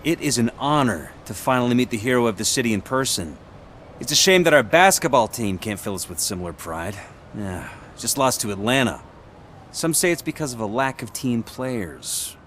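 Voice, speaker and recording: An actor playing a polished, ambitious politician in a video game, talking formally and somewhat pompously. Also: male voice, mid-range voice, big pitch swings, moderate pace, a bit bright, a little hiss or hum. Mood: courteous. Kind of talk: video game dialogue acting.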